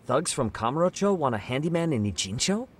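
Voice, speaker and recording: Animated, inquisitive male detective ally. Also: a little high, brisk, a little hiss or hum. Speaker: video game voice actor. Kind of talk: English dub game cutscene dialogue.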